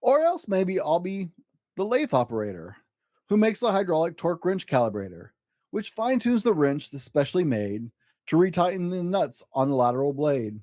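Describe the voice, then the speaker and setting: A man's voice: on the high side, moderate pace, no room sound, clean studio sound. A man voicing an exuberant, boastful eight-year-old schoolboy in a theatrical, rhyming picture-book story. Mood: eager, dreamy. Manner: excitable, grandiose, theatrical.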